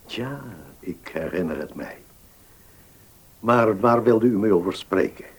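A very low, husky voice belongs to this elderly notary in a radio play. He is weary and courteous, choosing formal words slowly and with hesitation. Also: animated, heavily acted. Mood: weary, reluctant. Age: older. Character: weary old village notary, courteous and measured. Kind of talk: Dutch radio drama acting.

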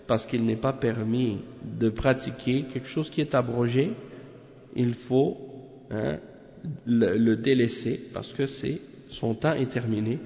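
A man with a cold, teaching a religious commentary and explaining it in halting, restarting French. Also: mid-range voice, steady tone, gravelly, dark tone. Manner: halting, many restarts and fillers. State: has a cold. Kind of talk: reading Arabic text, then explaining in French. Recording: muffled roomy lo-fi recording.

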